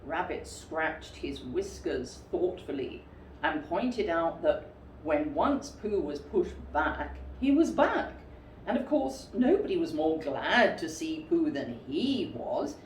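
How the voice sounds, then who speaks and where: A woman reads a children's story in an expressive, deliberate way. Her pitch swings in an animated manner at an unhurried pace. The recording is roomy, with some distance from the mic. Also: slight background noise.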